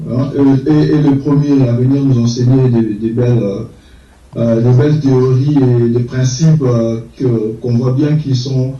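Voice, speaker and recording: A man's voice: medium pitch, warm tone. A man giving interview answers in a hesitant way, with frequent filler sounds. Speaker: political activist. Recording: echoey, distant, dull sound.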